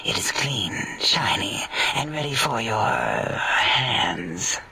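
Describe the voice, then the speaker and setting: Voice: mid-range voice, big pitch swings, slow pace, creaky, distant mic, background noise, heavily acted. A performer voicing a sultry, doting, clinical robotic scientist in a sci-fi comedy game, coy and whispery.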